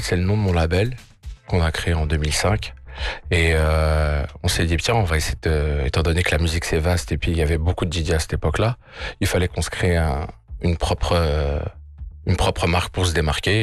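A man in his forties from France, a DJ guest on a radio show, talking in a casual, colloquial, relaxed way. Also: low-pitched, brisk, no room sound. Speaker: studio guest, DJ. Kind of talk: radio interview. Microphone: studio microphone.

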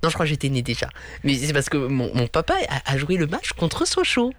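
A man from Normandy hosting a lively radio football talk show, chatty and often swooping up in pitch. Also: brisk, no echo. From Normandy, France. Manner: chatty, reads out listener messages, swoops up in pitch. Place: radio studio.

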